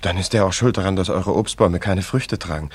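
A man's voice: low voice, brisk, slightly rough. A man acting in a German radio play as a curious, friendly young travelling painter, polite and full of wonder. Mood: puzzled, curious.

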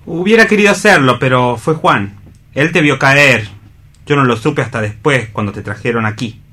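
A man's voice: medium pitch, normal speed, pushed and bright. An actor playing a tormented, pleading young man in love in a radio theatre reading, earnest and emphatic. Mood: reassuring, uneasy.

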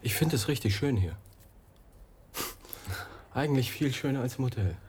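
An actor playing a reserved, subdued American writer abroad in a German radio play, with a husky voice and hesitant, understated delivery. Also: male voice, mid-range voice, expressive, normal speed, darkish tone, a little hiss or hum, lightly acted. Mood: reserved, polite. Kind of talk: German radio play acting, intimate dialogue.

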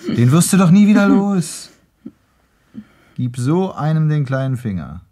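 An actor playing a suspicious, self-assured husband, blunt, dismissive and sarcastic. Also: male voice, a little high, big pitch swings, a little slow, warm tone. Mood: irritated, scornful. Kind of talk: German radio drama acting.

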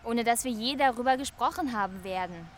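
A woman's voice: a little high, brisk, slight background noise, natural voice. A woman playing a lonely young woman in love, confiding hesitantly and musingly in a German radio play. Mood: subdued longing. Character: lonely, wistful young woman quietly in love with her neighbour.

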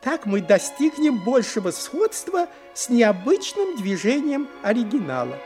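An actor playing a pompous, lecherous high priest of ancient Greece in a theatrical audio drama, unctuous and self-satisfied. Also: male voice, sing-song, a little slow, over music, heavily acted. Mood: admiring, instructing.

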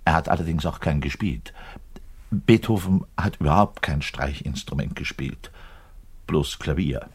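An actor playing a self-important, frustrated orchestral double bass player in a radio monologue, pedantic, rambling and emphatic, with a husky voice. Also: male voice, moderate pace. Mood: pedantic, earnest. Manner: pedantic, rambling, emphatic, shifting to muttered asides. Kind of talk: theatrical monologue addressed to a listener.

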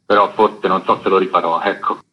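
A man's voice: low-pitched, level tone, a little fast. A middle-aged man, explaining things reflectively as a guest in an informal radio interview. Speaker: interview guest, illustrator and comics author. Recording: dull lo-fi radio sound.

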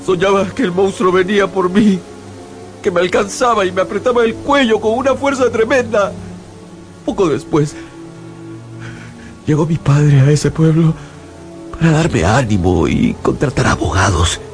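Haunted narrator, radio drama, theatrical, fearful, animated pitch, music behind. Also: male voice, moderate pace, long pauses. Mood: grave, dread. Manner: theatrical, fearful, repeats words for emphasis.

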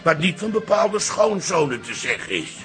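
An actor playing a proud, grumbling older father in a radio drama, folksy and opinionated, with a gravelly voice. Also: sits high, big pitch swings, moderate pace, a bit bright, soft background music. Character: proud, grumbling old father keeping the business going. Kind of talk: Dutch radio drama acting.

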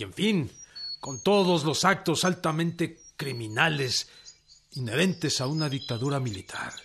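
An actor playing a solemn, defiant Mexican revolutionary leader, declaiming proclamations formally in a radio drama. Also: male voice, medium pitch, a bit bright, slight background noise. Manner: oratorical, emphatic, formal.

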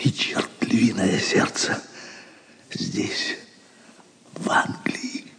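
A man's voice: drawn-out words, pushed and bright, in a whisper. An actor playing a grave, honourable knight reporting to his prince in an audio drama. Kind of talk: theatrical audio drama acting. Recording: long reverberant hall sound.

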